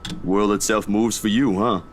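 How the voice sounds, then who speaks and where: A man's voice: fairly low pitch, fairly even intonation, normal speed, a little hiss or hum, close to an everyday voice. A man voicing a casual, chatty, concerned young photographer in a visual novel, in a hesitant conversational manner. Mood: chatty, awkward.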